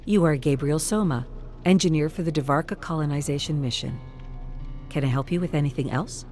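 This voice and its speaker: A narrator acting out a robot unit from a sci-fi audio drama. It speaks with formal courtesy and no visible feeling, calm and procedural, over a clear music bed. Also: medium pitch, brisk, close to an everyday voice. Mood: impassive, formal. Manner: formulaic, polite, detached. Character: Hux robot unit, calm, formal, impassive servant machine.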